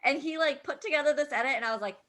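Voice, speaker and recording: A woman chatting casually and telling stories in an animated, emphatic way, peppering her talk with "like". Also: sits high, very fast. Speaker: wedding videographer, guest vendor. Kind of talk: casual chat, animated storytelling.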